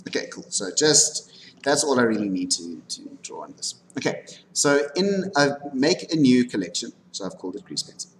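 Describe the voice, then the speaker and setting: A man lecturing informally on animation software, talking through a live demonstration and often checking in with his students. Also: medium pitch, big pitch swings, normal speed, rough recording. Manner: instructive, frequently checking in with "okay". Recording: background noise, room ambience.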